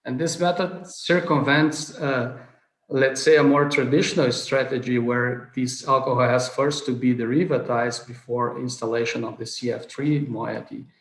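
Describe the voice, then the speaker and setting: A man's voice: mid-range voice, fairly even intonation, normal speed. A man in his thirties from southern Brazil, a non-native speaker giving an earnest online award lecture, full of hesitations and restarts. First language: Portuguese. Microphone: video call webcam. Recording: roomy video call audio.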